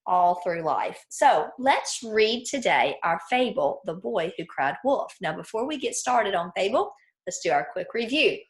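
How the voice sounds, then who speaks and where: Woman teacher leading a children's read-aloud lesson. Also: mid-range voice. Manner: clear explanatory teacher talk with questions to listeners.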